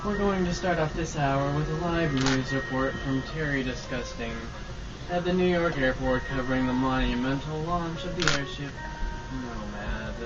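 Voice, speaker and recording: A man's voice: mid-range voice, moderate pace. A man reading scripted narration as the announcer of an old-time radio drama. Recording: muffled, distant live recording, background noise.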